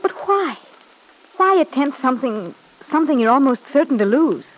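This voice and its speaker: An actress playing an anxious young wife in an old-time radio drama, speaking hesitantly and pleadingly. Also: female voice, sits high, very animated, moderate pace, poor audio.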